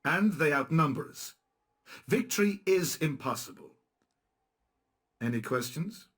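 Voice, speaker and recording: A man's voice: fairly high pitch, expressive, a little slow, a bit bright. An actor playing a resistance leader in a video game, blunt, dry and sarcastic as he briefs his men. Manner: dry, sarcastic, matter-of-fact. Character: dry, blunt male guerrilla commander. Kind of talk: video game cutscene acting and narration.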